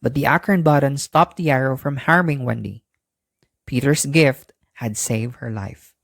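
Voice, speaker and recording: A storyteller reads a children's bedtime tale from a script at a middle pitch. The sound is dry and close, with no room around it. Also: a little slow, gravelly, no echo.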